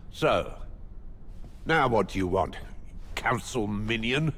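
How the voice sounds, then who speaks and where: Game role: fussy, overworked academy rector, pompous and formal, very animated intonation, reverberant hall with background noise. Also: male voice, fairly low pitch, drawn-out words, heavily acted. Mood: irritated, impatient. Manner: pompous, hesitant stammering, old-fashioned formal phrasing. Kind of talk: video game dialogue acting.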